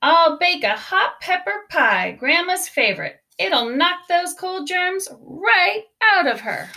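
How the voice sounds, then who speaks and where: A woman's voice: extremely high pitch, sing-song, slow, vocal fry, bright, forceful tone, voice pushed up, heavily acted. A woman voicing a feisty, plucky little girl from Texas with a folksy, forthright way of talking in a storybook. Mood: eager. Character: feisty little Texas girl who loves hot peppers.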